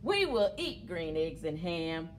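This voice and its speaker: A middle-aged woman hosting a children's story time in an animated, encouraging way, as if leading little listeners along. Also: fairly low pitch, slow. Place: indoors among library bookshelves. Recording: clear background noise, room ambience.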